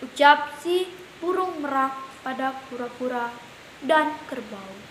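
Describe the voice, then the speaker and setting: A woman's voice: sits high, expressive, a little slow, a bit bright. A woman narrating an animal fable for children as a storyteller. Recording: echoey room, faint hiss.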